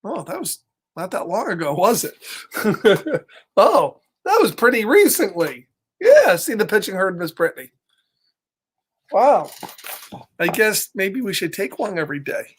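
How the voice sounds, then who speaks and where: A man's voice: sits high, very animated, a little slow, long pauses, a bit bright, breathy edge. A man reading a picture book aloud to children in a playful, chatty way, breaking off casually to address his young listeners. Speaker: children's storyteller.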